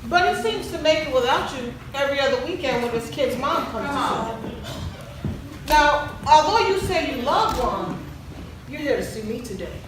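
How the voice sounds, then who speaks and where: A woman playing a composed relationship counsellor in a stage play, measured, probing and professional. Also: high voice, lively intonation. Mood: composed, instructive. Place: large echoey indoor stage venue. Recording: very echoey, distant, background noise.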